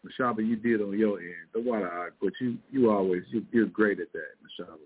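A man hosting an online radio talk show and teaching the Bible. He talks casually, stretching his words and dropping in "man" and "uh" as he goes. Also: lowish voice. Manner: loose, drawn-out delivery peppered with "man" and "uh". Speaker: podcast host and Bible teacher. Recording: tinny phone-line call.

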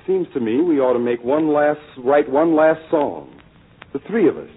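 A man's voice: on the high side, sing-song, moderate pace, slightly rough. An American man playing an earnest, idealistic young songwriter in a 1940s radio drama, speaking with serious deliberation on a tinny old recording.

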